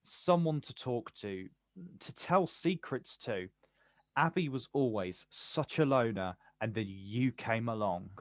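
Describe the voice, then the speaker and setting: An actor playing a bitter, protective older brother, confrontational and incredulous, in a naturalistic stage drama. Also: male voice, mid-range voice, animated, slow pace, no echo. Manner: confrontational, incredulous, clipped questions.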